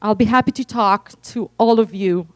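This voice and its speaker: A woman's voice: medium pitch, normal speed, a little mellow, no room sound. A woman from Israel with an Israeli accent, reading a prepared speech carefully as an entrepreneur. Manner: careful, read-aloud delivery.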